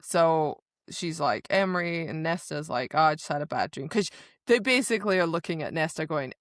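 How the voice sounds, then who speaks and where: A woman with a slightly low voice chats casually as a podcast co-host. She retells book scenes conversationally and slips into little quoted exchanges. The sound is close and dry. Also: expressive, moderate pace, no echo. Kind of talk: casual book discussion chat.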